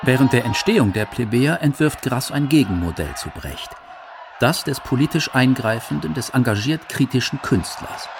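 A man's voice: medium pitch, sing-song, normal speed, gravelly, music in the background. A man narrating a scripted radio documentary. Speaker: documentary narrator and quote reader.

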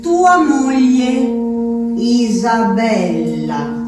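A woman's voice: a little high, extremely slow. An actress playing a pleading, lovelorn woman reading out desperate letters in a lyrical, theatrical way. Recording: echoey distant stage sound under music. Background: music.

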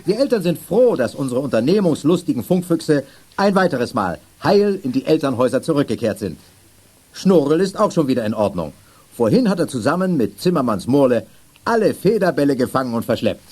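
A man's voice: medium pitch, sing-song, a little fast, warm tone, husky. An actor playing a jovial middle-aged father in a children's radio play, speaking with theatrical, playful ceremony. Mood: jovial, festive. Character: jovial, mock-pompous father addressing family and guests.

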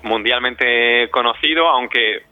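Male interview guest on a dull, dry phone call, mid-pitched, halting and explanatory. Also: no room sound. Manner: hesitant, searching delivery with repetitions. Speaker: interview guest, scriptwriter and director. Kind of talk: spontaneous interview answers. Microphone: telephone.

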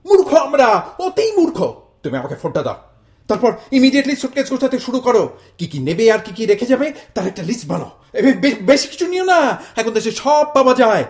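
A middle-aged Bengali man, played by an actor, stubborn and melodramatic, in a comic radio play. His pitch swings widely as he exclaims and grumbles, dropping English phrases into his speech. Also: very animated, brisk, a little mellow. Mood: indignant ranting. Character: hot-headed exasperated Bengali immigrant husband, melodramatic and stubborn. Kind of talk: audio stage-style comedy drama acting.

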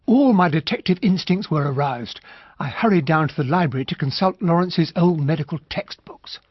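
Earnest English gentleman, Received Pronunciation, polite narrator, husky, radio drama, dry and muffled recording. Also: fairly high pitch, animated, normal speed, no echo. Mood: narrating. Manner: polite, earnest, well-spoken. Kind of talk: radio drama acting and first-person narration.

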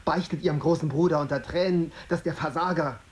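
An actor playing a resentful, defensive young journalist in a radio drama, with a bitter, accusing way of talking. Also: male voice, on the high side, steady tone, a little fast, natural voice. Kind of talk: German radio drama acting.

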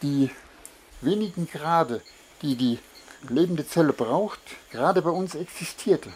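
Deliberate, reflective man, faint noise behind. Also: a little high, animated, a little mellow. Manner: deliberate, elevated wording, pausing to search for words. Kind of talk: reflective personal statement. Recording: faint background noise and ambience.

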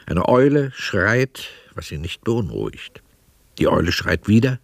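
A man narrating a scripted radio drama in a measured, matter-of-fact manner. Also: lowish voice, sing-song, vocal fry, dry recording.

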